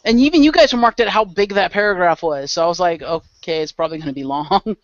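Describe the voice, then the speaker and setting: Higher-voiced man hosting, dull audio. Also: fast talker, no echo. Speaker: game master and narrator. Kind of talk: casual group chat with game narration.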